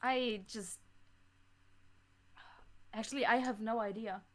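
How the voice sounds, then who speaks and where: A young woman with a fairly high voice talks casually as a guest in an interview. She is hesitant and tends to ramble as she works out her answers. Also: fairly even intonation, slow pace, a bit bright. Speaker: podcast guest, creator of an audio drama.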